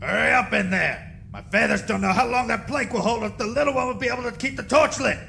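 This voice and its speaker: An actor playing a gruff, commanding griffin in an audio drama, with a strained, growling voice and urgent delivery. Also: medium pitch, quick pace, soft background music. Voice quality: gravelly, strained. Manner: growling, shouting.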